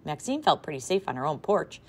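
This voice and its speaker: A woman teacher reading a chapter book aloud to her class in a lively, expressive way. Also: sits low, fairly quick.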